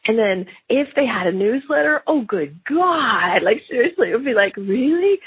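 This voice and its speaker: A middle-aged woman with a very husky, gravelly voice from a slight cold hosts a business podcast solo. She talks in an animated way, popping into lively voices when she quotes people. The recording is dry and narrow, like a phone line. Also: fairly high pitch, normal speed, no echo. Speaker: podcast host.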